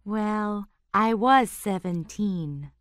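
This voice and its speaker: Woman voicing a young woman, friendly, very slow, smooth clear voice, dry studio. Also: medium pitch, no room sound. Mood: calm. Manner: slow, carefully spoken. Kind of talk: scripted dialogue for learners.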